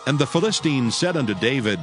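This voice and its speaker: A man's voice: medium pitch, expressive, a little fast. A man narrating scripture as storyteller in a dramatized reading. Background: background music.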